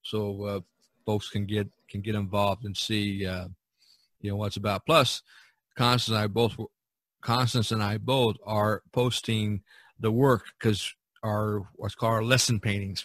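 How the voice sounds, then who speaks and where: A man's voice: fairly low pitch, level tone, moderate pace, a bit bright, no room sound. A man hosting an art podcast in casual chat, speaking in an encouraging way. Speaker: podcast host and artist.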